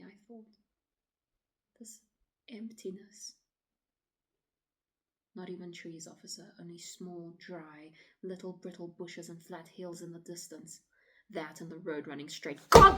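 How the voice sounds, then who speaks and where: A woman acting a shaken city woman in a dramatic stage monologue, pleading her account to police in a halting, often hushed voice. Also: lowish voice. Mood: hushed, uneasy. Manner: halting, hushed, often breathy near-whisper.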